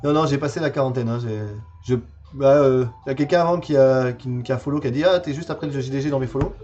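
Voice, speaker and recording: A man's voice: medium pitch, fast talker, a little mellow, muffled recording. A man in his forties chatting casually on a livestream while showing games from his collection, hesitant and full of fillers and repeated words. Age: 40s. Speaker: streamer presenting a game collection.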